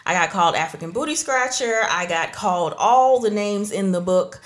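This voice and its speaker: A woman's voice: medium pitch, animated, normal speed. A woman with an American accent, chatting casually with friends as a podcast host. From Trinidad and Tobago, moved to the United States at four. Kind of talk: casual group chat.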